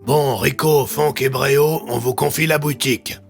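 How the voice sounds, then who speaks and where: A man's voice: mid-range voice, fairly even intonation, normal speed, vocal fry, faint music behind, pitched above the performer's own voice, lightly acted. A man voicing a firm, businesslike squad leader giving orders in an audio story.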